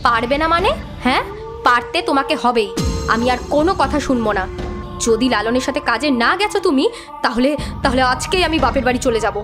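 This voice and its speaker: Sharp-tongued young wife, high voice, insistent, nagging, cartoon village tale, music underneath. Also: female voice, lively intonation, fairly quick. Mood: demanding. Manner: nagging, firm. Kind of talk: Bengali cartoon folk-tale acting.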